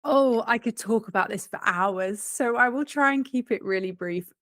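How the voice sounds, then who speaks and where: A woman's voice: on the high side, normal speed, a bit bright, no echo, studio quality. A British woman from the UK in her forties, a professional organizer, chatting as a guest in an interview with a self-deprecating, storytelling manner. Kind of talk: conversational interview.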